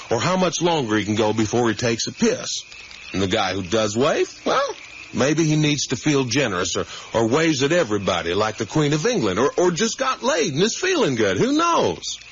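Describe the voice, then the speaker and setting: An actor playing an easygoing, talkative middle-aged father in a naturalistic radio drama, with a folksy, rambling, gently teasing manner. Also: medium pitch, very animated, moderate pace. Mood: musing, playful. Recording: muffled, hissy 1980s radio broadcast.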